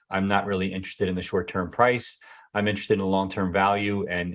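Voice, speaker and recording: Hoarse man answering viewer questions. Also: fairly low pitch. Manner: hesitant, many fillers and restarts. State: losing his voice. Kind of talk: casual live Q&A.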